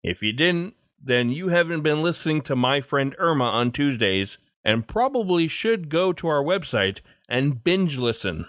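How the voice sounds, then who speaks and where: A man with a slightly high voice speaks as a podcast host. He addresses his listeners directly, in the manner of someone handling mail and announcements. The sound is dry, with very little room in it. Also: sing-song, measured pace, few pauses, fairly bright tone, no echo.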